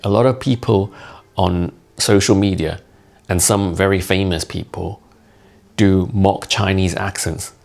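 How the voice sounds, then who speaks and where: A man's voice: sits low, creaky, a little mellow. A man from Hong Kong, a content creator giving a blunt, mocking explainer to camera. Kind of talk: opinionated explainer talk to camera.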